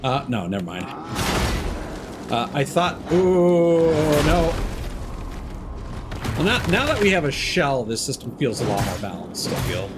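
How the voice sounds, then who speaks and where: A man's voice: mid-range voice, very animated, measured pace, long pauses, background noise. A man streaming a video game, casually thinking aloud and hesitating with fillers as he plays. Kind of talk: casual gameplay commentary.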